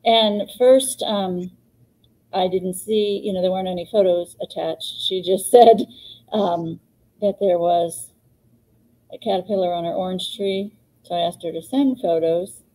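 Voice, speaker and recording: A woman's voice: on the low side, a little slow, warm tone, poor audio. A middle-aged woman co-hosting a live plant clinic on a video call, chatty, laughing often and saying um a lot. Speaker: co-host. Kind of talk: live online plant advice show. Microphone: webcam on a video call.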